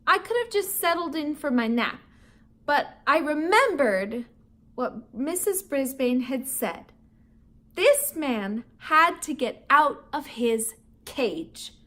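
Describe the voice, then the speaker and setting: A woman teacher reads a children's chapter book aloud to young listeners and stops to teach vocabulary words. Her voice sits high and bright, and it swings a lot as she goes. She laughs often and adds playful, chatty asides. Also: very animated, takes it slowly.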